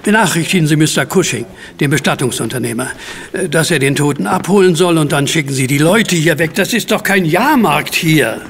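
An actor playing a brusque, skeptical and bossy police superintendent with a gravelly voice in a German audio drama. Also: male voice, fairly high pitch, fairly quick, a bit bright. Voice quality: gravelly, creaky. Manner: brusque, barking orders.

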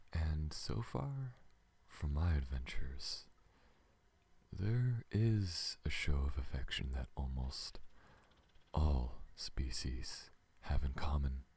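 A man voicing a tender, teasing alien in an intimate ASMR roleplay, breathy and husky, often dropping to a whisper, with hesitant pauses. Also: a bass voice, darkish tone, exaggerated voice. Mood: flirtatious. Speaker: voice actor in a roleplay.

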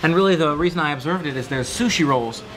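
A man's voice: a little high, fast, a bit bright. A man in his thirties chatting casually as a companion on a vlog, picked up by a handheld camera a little away from him. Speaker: vlogger's companion. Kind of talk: casual chat. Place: outdoor covered resort walkway at night. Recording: busy crowd noise, on-location camera.

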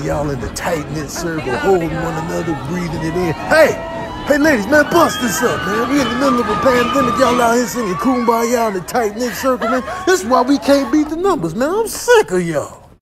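A man voicing a fed-up bird in a comedy dub, scolding a crowd in exasperated slang. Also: sits high.

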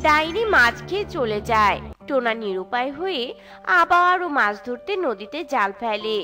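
A woman's voice: fairly high pitch, lively intonation, normal speed, pushed and bright, soft background music. A woman narrating a scripted children's story.

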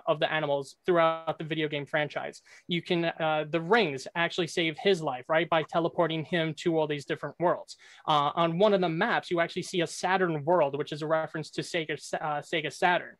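A man's voice: fairly high pitch, fairly even intonation, a little fast, few pauses, fairly bright tone, no room sound. A man in a casual debate, explaining his point and often checking for agreement. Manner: seeks agreement with frequent "right?". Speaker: debate contestant. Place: indoor room, video call.

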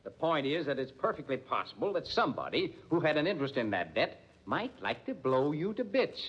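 Sardonic, lively police lieutenant. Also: male voice, fairly high pitch, fairly quick, breathy edge. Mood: needling, assured. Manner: wry, pushy, folksy colloquial phrasing. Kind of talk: 1940s radio acting. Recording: muffled vintage 1940s radio recording.

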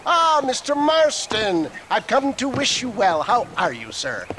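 Old snake-oil swindler, high-pitched, pompous, flowery, mannered, game cutscene. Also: male voice, measured pace, noisy background, full character voice. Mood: jovial flattery. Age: older. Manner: grandiose, mannered, flattering. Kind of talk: video game cutscene acting.

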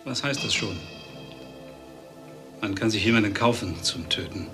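A cold, commanding doctor, played by an actor in a crime radio drama. His voice sits a little low, curt and threatening, with music playing behind it. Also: normal speed, lightly acted. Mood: cold menace. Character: cold, domineering male doctor, threatening husband.

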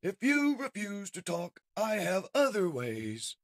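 Cold villain robot, comic-book story, imperious, formal, high-pitched, forceful. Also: male voice, wildly swooping pitch, slow pace, creaky, exaggerated voice. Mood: cold menace. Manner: pompous, menacing, formal.

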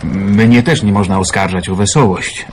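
A reserved, hardened gentleman in hiding, played by an actor in a wartime radio play. His voice is fairly low, and the recording is clearly noisy. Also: fairly even intonation, moderate pace, close to an everyday voice. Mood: guarded. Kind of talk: Polish radio drama acting.

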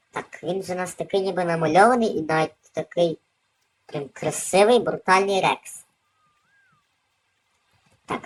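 A woman's voice: fairly low pitch, breathy edge, rough recording. A woman hosting a gaming video with casual, off-the-cuff gameplay commentary.

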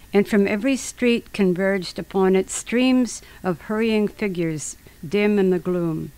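A woman giving a dramatic radio reading of an eyewitness account, declamatory and measured, with deliberate pauses. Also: mid-range voice, poor audio.

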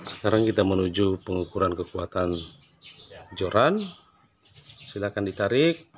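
A man's voice: low voice, expressive, moderate pace, a little hiss or hum. A man outdoors reading a scale aloud for a friend, calling out numbers steadily, away from the camera. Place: outdoors by a school building.

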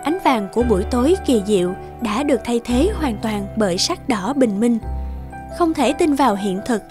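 A woman narrating a scripted fairy tale for a children's cartoon in a measured storytelling manner. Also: fairly high pitch, expressive. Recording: music clearly behind the voice.

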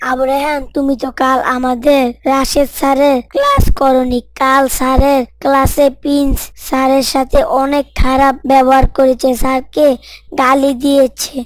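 A woman's voice: high voice, flat delivery, moderate pace, dry recording, close to an everyday voice. A woman voicing a timid schoolboy in a story, speaking in a confiding, worried way. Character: timid gossiping schoolboy Ali, anxious about a bully.

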